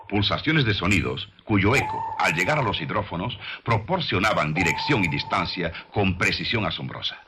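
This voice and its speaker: A man reading scripted documentary narration with measured, dramatic storytelling delivery, on an old film soundtrack. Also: low voice, pushed and bright, a little hiss or hum.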